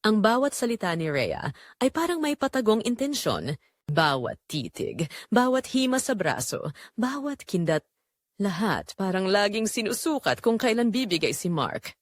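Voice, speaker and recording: Expressive Filipino woman narrating, dry close sound. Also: medium pitch, normal speed, dry recording. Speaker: audio drama narrator. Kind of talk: scripted dramatic story narration.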